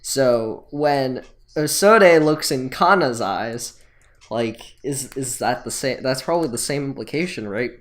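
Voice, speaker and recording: A woman's voice: extremely low pitch, expressive, unhurried. A woman co-hosting a podcast, talking in a casual, conversational way. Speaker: anime and manga podcast co-host. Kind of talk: casual chat.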